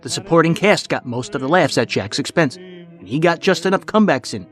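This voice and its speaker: A man hosting a podcast with scripted documentary narration in a measured, clearly phrased announcer delivery. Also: on the high side, expressive, a bit bright, faint music behind. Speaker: podcast host.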